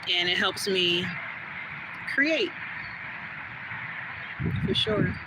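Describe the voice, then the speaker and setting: A woman with a smooth, soothing voice, chatting casually with viewers during a live stream and answering their comments as she goes. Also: medium pitch, drawn-out words. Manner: relaxed, rambling, talks back to chat comments. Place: outdoors on a quiet street. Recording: noisy outdoor phone recording.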